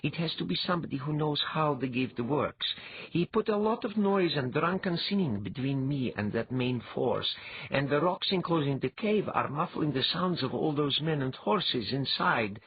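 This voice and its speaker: A man voicing an intense, shrewd young woman seer in an audiobook, with an earnest manner and husky voice. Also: a little high, animated, moderate pace, highs cut off, pitched above the performer's own voice, heavily acted.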